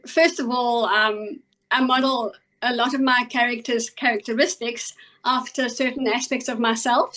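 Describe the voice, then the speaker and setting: A middle-aged woman with a South African accent, talking as a guest author in a conversational video-call interview, thoughtful and pausing often with ums. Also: high-pitched, a bit bright, rough recording. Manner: thoughtful, with frequent ums and pauses.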